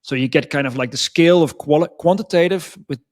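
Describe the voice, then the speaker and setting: A man's voice: on the high side, fast talker. Man hosting, checking "is that fair?". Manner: thinks aloud, checks with "is that fair?". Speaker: podcast host. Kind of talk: casual interview questions.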